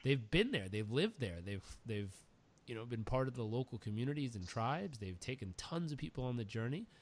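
A man's voice: mid-range voice, big pitch swings, fairly quick, a little hiss or hum. A man hosting a podcast, chatting casually and telling stories with enthusiasm, often repeating words as he starts a thought. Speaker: podcast host. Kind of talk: casual interview chat, storytelling.

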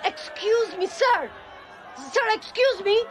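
A pushy female reporter in an audio drama, calling out in a very high voice. A busy, noisy crowd surrounds her. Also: expressive, slow, heavily acted. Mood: urgent, demanding.

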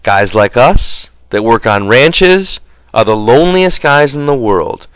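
Male reader as gruff male ranch hand, impatient, protective, blunt rural grammar, clear audiobook voice. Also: medium pitch, lively intonation, a little slow, no echo, lightly acted. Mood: dreamy, rhythmic. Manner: blunt, folksy, ungrammatical rural speech.

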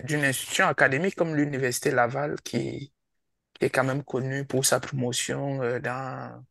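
A male host poses his questions over a video call, pausing often and filling the gaps. His voice has a gravelly texture, and the sound is dry and close to the mic. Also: medium pitch, little pitch movement, a bit bright, no room sound. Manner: hesitant, many fillers. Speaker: interviewer host. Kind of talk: interview questions.

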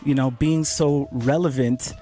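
A man's voice: medium pitch, fairly even intonation, unhurried, dark tone, soft background music. A man hosting a casual radio interview from a studio. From Canada. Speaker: radio host.

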